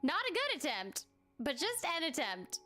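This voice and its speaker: A woman's voice: sits high, wildly swooping pitch, normal speed, pushed and bright. A woman chatting casually with viewers on a game stream, self-deprecating and full of fillers. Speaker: streamer and voice actor. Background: game music.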